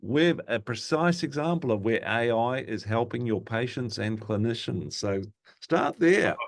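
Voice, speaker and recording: A man's voice: mid-range voice, animated, a little slow, gravelly. A man moderating a panel in an informal, chatty way, talking off the cuff. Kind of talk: casual, conversational panel moderating.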